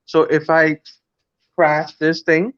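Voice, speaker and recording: A man's voice: on the high side, level tone, takes it slowly, fairly bright tone, clean tone. Joking Cuban-born man on a livestream. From Cuba. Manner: joking, rambling, chuckles while talking. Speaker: livestream host. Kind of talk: casual chat. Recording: dull lo-fi stream audio.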